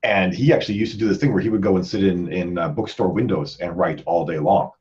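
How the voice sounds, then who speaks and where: A man with a deep voice chats quickly as a podcast host. He circles back over his words in a loose, conversational way. The sound is somewhat dull, as over a band-limited call. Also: darkish tone. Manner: rambling, conversational, repeats words as he thinks. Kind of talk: casual podcast panel chat.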